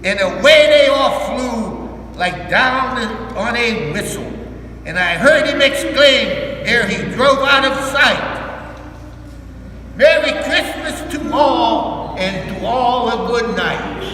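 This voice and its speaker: In a big echoing hall with clear background noise, an older man with a very high-pitched voice reads a story aloud. He delivers it slowly and emphatically, halting now and then to explain things simply to his listeners. The mic picks him up from a distance. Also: expressive, sharp tone. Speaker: volunteer storyteller. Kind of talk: reading a Christmas poem aloud to a group, with simple asides. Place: large echoey indoor room, recorded from far off.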